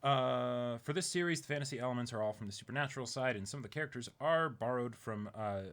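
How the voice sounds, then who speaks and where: Young man with a bright voice. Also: mid-range voice, quick pace. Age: young adult. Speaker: YouTube unboxing host. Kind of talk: reading a viewer letter aloud, casual. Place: small indoor room, seated.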